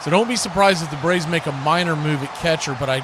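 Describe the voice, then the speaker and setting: A man's voice: a little high, fast, noisy background. A man hosting a livestream, calling football play-by-play and chatting casually with viewers. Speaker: livestream host and play-by-play commentator.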